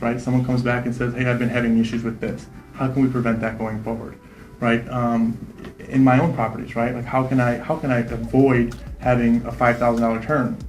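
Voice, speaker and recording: A man in his thirties, a real estate investor, answering interview questions quickly and confidently, often tagging "right?" onto his points. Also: mid-range voice, a little mellow, reverberant, low-quality recording. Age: 30s. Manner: fast, confident, repeats "right?".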